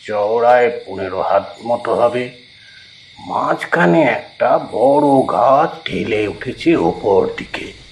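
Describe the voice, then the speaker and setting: A man narrating a scripted first-person horror story in a measured, suspenseful way. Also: lowish voice, creaky, slight background noise, poor audio.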